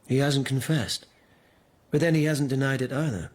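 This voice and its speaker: An actor playing an earnest, troubled lieutenant who investigates at an imperial court, sober and guarded in a radio drama. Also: male voice, medium pitch, normal speed, close to an everyday voice.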